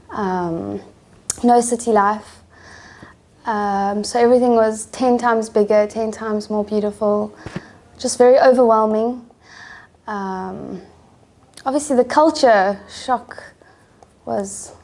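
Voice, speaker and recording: A woman from South Africa, an English teacher, giving soft-spoken, reflective interview answers. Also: medium pitch.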